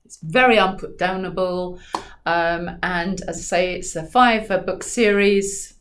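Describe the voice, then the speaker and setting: A woman author reading in an expressive, dramatic storytelling style with deliberate delivery. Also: lowish voice, slight background noise. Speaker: author reading from own book.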